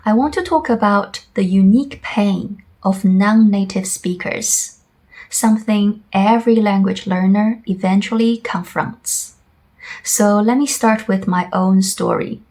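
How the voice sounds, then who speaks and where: A woman's voice: medium pitch, a little slow, darkish tone. A woman whose first language is Chinese, a Chinese teacher from China, reflecting earnestly on her own life in English. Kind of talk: personal reflective monologue.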